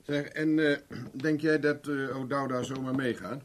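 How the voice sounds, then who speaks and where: A man's voice: mid-range voice, fairly even intonation, normal speed, slight background noise, lightly acted. An actor playing a wry, unflappable captive investigator in a 1970s Dutch radio drama, dry and ironic as he probes and needles. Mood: probing, dry.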